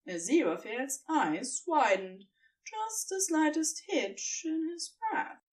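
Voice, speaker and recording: A woman narrating scripted fan fiction as an expressive storyteller, recorded slightly away from the microphone. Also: high-pitched, slow, far from the mic.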